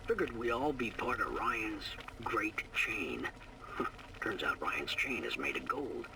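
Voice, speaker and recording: A gravelly, bitter working-class man for a game audio diary. His delivery swings widely in pitch, with sardonic muttering. He sounds far from the mic on a noisy old recording. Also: heavily acted. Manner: slangy, sardonic, muttering with sighs and chuckles.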